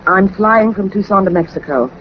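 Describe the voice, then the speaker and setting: An evasive young woman in trouble played by an actress in a 1940s radio thriller. She speaks in a medium-pitched voice, clipped, brisk and defensive with an anxious edge. The recording is muffled, with faint noise. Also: natural voice. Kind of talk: 1940s radio drama acting.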